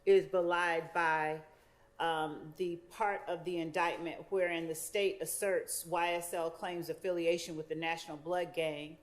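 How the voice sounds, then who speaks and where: Female prosecutor, slightly low voice, formal and deliberate, halting at times as she argues before a judge. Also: level tone. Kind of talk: formal courtroom legal argument.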